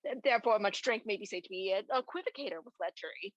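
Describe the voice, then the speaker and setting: A woman's voice: medium pitch, expressive, quick pace, no room sound, lo-fi sound, lightly acted. A young woman playing a drunken, bawdy, grumbling castle porter in a comic amateur Shakespeare monologue with exaggerated, tipsy delivery. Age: young adult.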